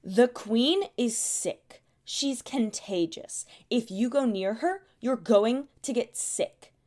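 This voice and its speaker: Stern, businesslike castle superior. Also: female voice, a little high, darkish tone. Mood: grave warning. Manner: firm, businesslike, deliberate. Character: stern female royal household superior giving orders. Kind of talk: audio drama dialogue. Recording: music behind the voice.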